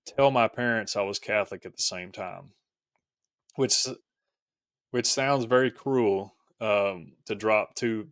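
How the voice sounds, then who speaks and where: A man's voice: lowish voice, no room sound, clean studio sound. A man speaking as a podcast guest, telling a personal story casually in a reflective, somewhat hesitant way.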